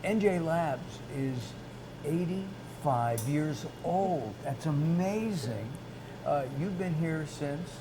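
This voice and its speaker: A man hosting an interview and asking conversational questions in halting, stop-and-start phrases. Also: fairly high pitch, lively intonation, noisy background. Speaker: interviewer and series host.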